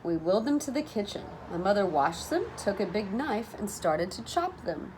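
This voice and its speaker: A woman teacher reading a children's story aloud in an expressive, deliberate manner, with animated phrasing. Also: medium pitch, low-quality recording. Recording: noticeable background noise.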